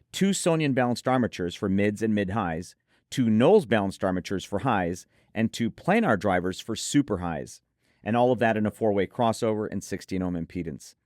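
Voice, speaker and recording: Low-voiced man reviewing audio gear. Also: no echo, clean studio sound. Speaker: headphone show co-host reviewer. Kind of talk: conversational product review commentary.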